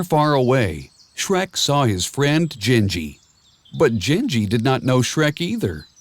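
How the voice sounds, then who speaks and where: Animated male storybook narrator, slow-paced. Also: medium pitch. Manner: measured, expressive storytelling with clear simple phrasing. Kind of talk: scripted children's read-along storybook narration.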